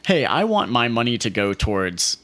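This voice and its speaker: A man's voice: medium pitch, very animated, normal speed, fairly bright tone. A man co-hosting a podcast in casual, joking conversation, using slangy, informal speech. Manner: informal slangy speech, says "dude" and "like" often. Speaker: podcast co-host. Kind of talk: casual comedic podcast chat.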